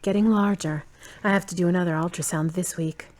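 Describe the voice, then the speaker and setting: A woman playing a wry, thoughtful grown daughter in an audio drama, measured and dry. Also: on the low side, fairly even intonation, a little mellow, natural voice. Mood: dry, affectionate. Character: wry, guarded adult daughter, thoughtful and independent.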